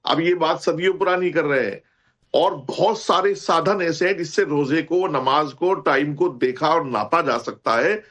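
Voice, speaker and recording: Slightly high, emphatic male debate panelist. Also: normal speed, fairly bright tone. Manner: emphatic, didactic, repeats key points. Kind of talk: explanatory argument on a TV debate.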